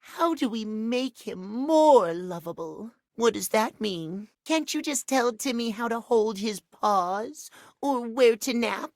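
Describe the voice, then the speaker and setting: A man's voice: very high voice, lively intonation, slow, no room sound, clean studio sound, exaggerated voice. A man voicing a cute, needy, childlike cat creature in a video game, with babyish, whiny, pouty delivery. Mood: curious, eager. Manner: babyish third-person cutesy talk, whiny. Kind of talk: video game character voice acting.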